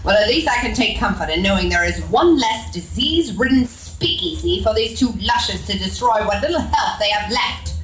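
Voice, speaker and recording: A woman's voice: mid-range voice, expressive, normal speed, few pauses, a bit bright, close to an everyday voice. A woman playing a bossy, exasperated, smug policewoman in a live comedy radio drama, haughty and scolding. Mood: weary. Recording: echoey stage, distant mic, music behind.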